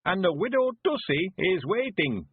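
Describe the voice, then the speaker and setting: A man voicing a cheerful, frail 91-year-old man, quavering and slow, rustic and stubborn. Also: high-pitched, very animated, slightly rough, pitched above the performer's own voice, exaggerated voice. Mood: jovial. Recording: phone-like lo-fi sound.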